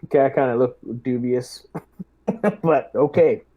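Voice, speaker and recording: A man speaking in character as a squad leader in a tabletop game, measured as he gives orders and briefings. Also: mid-range voice, fairly even intonation. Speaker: player acting as squad lieutenant. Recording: dull, slightly lo-fi audio.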